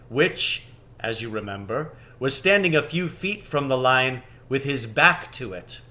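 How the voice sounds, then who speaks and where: A man reading a children's novel aloud as scripted narration, with measured, expressive phrasing. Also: fairly high pitch. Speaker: storybook reader.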